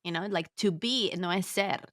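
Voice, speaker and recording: Lowish-voiced woman interviewer, casual and dry-sounding. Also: moderate pace, a bit bright, dry recording. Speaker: podcast host, interviewer.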